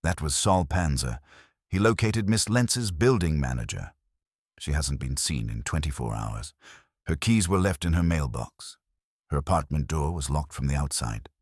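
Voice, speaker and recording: A man voicing an aloof, precise older detective in clipped, unhurried dialogue. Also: a bass voice, animated, breathy edge, dry recording, studio quality, full character voice. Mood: deliberate. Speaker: armchair detective. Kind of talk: audiobook dialogue.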